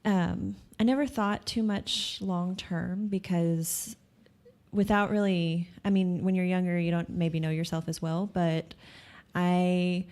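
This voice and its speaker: Low-voiced, hesitant woman guest. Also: fairly even intonation, slow pace, a little mellow. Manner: thoughtful, hesitant, many ums. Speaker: podcast guest. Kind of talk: casual interview chat.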